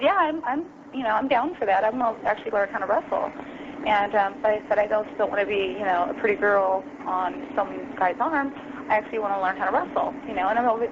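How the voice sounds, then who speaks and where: A young adult woman telling her story as an interview guest over the phone, casual and full of ums and you-knows. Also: medium pitch. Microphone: phone call. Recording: lo-fi phone line, noisy.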